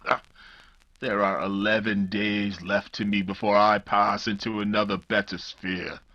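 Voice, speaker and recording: An actor playing a vengeful old criminal doctor, ominous and grandiose, in a 1940s radio mystery style. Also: male voice, on the low side, flat delivery, unhurried, bright, forceful tone, muffled recording. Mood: grandiose menace. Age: 70s character.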